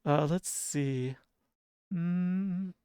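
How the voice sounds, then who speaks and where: A game streamer with a sleepy-sounding voice, chatting casually and softly with viewers, often chuckling and humming idle filler tunes. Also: medium pitch, drawn-out words, a little hiss or hum. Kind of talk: casual livestream chat.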